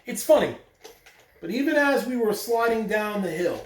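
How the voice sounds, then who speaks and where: A man reading aloud to children in an expressive storytelling style, with deliberate emphasis on key words. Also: high voice. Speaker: school staff member reading aloud on morning announcements. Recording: roomy echo, distant mic, faint background noise.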